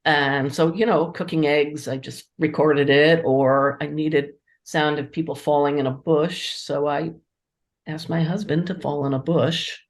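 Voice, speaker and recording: A woman with a distinctly low-pitched voice gives conversational answers in an interview. She speaks as a writer about her work, with an appreciative, enthusiastic manner. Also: fairly even intonation, unhurried. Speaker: interviewed playwright and editor.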